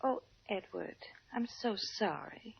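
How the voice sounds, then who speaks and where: An actress playing a devoted but scheming young mistress in dramatic 1940s radio style, with breathy endearments. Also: female voice, mid-range voice, lively intonation, slow, full character voice. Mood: concerned, tender. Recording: muffled vintage radio recording.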